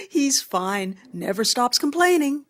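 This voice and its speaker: A woman's voice: high voice, very animated, takes it slowly, a bit bright, heavily acted. An actress playing a polite female family friend in an audio drama. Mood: friendly.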